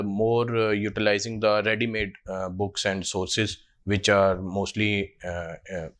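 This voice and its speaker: A man's voice: fairly low pitch, a bit bright. Hesitant Pakistani man in his twenties. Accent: Pakistani English. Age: 20s. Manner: hesitant, many uh fillers and restarts. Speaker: job candidate. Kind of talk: mock job interview answers.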